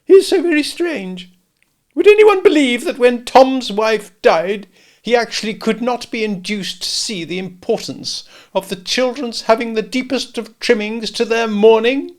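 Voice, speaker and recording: A man voicing a fussy, self-pitying older lady relative in a storybook reading, shrill and indignant. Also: measured pace.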